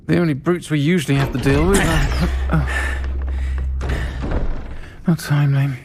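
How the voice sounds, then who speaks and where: An actor playing a warm, protective tavern keeper woman, assured and dry-witted, in a fantasy game. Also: on the high side, fairly even intonation, unhurried, vocal fry, noisy background. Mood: friendly, concerned.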